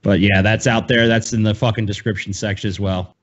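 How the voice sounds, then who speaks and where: A man with a fairly deep voice chats casually as a podcast co-host. He is blunt, informal and given to swearing. The recording sounds a little muffled. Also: steady tone, a little fast.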